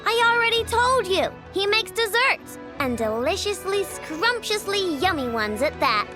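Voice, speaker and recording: A woman's voice: extremely high pitch, big pitch swings, a little slow, exaggerated voice. A woman voicing a feisty, stubborn little inventor girl in a video game, speaking emphatically over music. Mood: defiant, indignant. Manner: emphatic, defiant. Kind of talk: animated video game voice acting.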